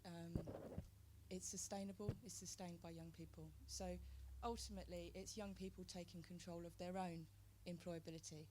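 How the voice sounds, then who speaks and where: A woman's voice: fairly low pitch, level tone, moderate pace, background noise. A woman on a panel describing a youth-led project. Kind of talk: panel discussion.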